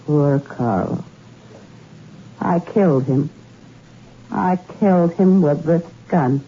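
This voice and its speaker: A woman's voice: low-pitched, slow, warm tone, slightly rough, heavily acted. An actress playing a rich young woman confessing to murder, cool and bitter, in 1960s radio drama style on an old muffled recording. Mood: cool confession. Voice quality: cool, confessional, then anguished.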